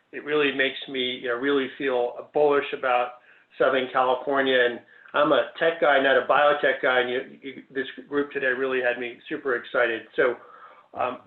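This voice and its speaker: Organizer intro, man, hesitant and flat-toned, phone-quality echoey audio. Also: medium pitch. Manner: halting, many ums and restarts. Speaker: event organizer introducing a panel. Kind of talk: hesitant welcoming remarks on a video call. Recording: echoey, tinny phone-like line.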